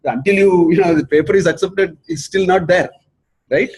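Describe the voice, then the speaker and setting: A man's voice: high-pitched, moderate pace, darkish tone, slightly rough, low-quality recording. A middle-aged man with an Indian accent giving an earnest lecture, repeating his points for emphasis. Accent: Indian English. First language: not English. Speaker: professor giving a research talk.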